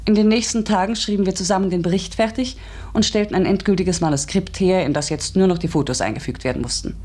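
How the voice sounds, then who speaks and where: An actress playing a reflective schoolteacher in a German radio drama, speaking in a measured, earnest, wondering way. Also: female voice, mid-range voice, darkish tone, lightly acted. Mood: wistful, reflective. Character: reflective female schoolteacher recounting a mysterious encounter. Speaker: narrator and lead actress.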